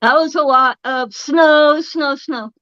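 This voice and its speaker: A woman's voice: sits high, slow pace, a bit bright, no room sound, highs cut off. Teasing Boston woman in her sixties. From Massachusetts. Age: 60s. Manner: teasing, wisecracking. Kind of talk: casual chat.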